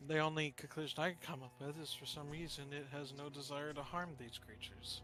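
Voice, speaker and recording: A man playing a tabletop game, casually and haltingly reasoning aloud. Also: a little high, steady tone, pushed and bright. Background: background music.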